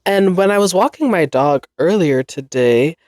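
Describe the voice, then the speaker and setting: A podcast host recovering from a cold, sounding a bit nasal and congested, rambling chattily in a basement with frequent laughs. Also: male voice, high voice, lively intonation, normal speed, a little mellow, no echo, clean studio sound. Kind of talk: casual solo podcast chat. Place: basement room.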